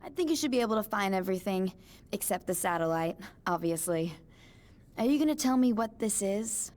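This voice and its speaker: A woman's voice: medium pitch, animated, fairly quick, darkish tone, natural voice. An actress playing a chatty, eccentric female new-age mystic with a breezy, airy way of talking in a game cutscene. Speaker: motel resident, self-declared psychic.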